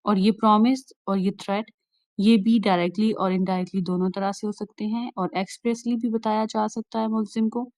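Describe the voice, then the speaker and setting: A woman lecturer with a Pakistani accent and a soft, mellow tone at a medium pitch. She explains methodically, restating each point in other words. The recording is dry and close, with very little room sound. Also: fairly even intonation, fairly quick, no echo. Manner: methodical, repeats and rephrases points. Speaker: law lecturer. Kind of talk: explanatory lecture, switching between Urdu and English.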